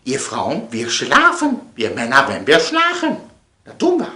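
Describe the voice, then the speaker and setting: A man's voice: mid-range voice, huge pitch swings, a little slow, bright, forceful tone. A man hosting a comedic monologue to viewers, theatrical and repeating phrases for emphasis. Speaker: host of an online show. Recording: echoey room sound.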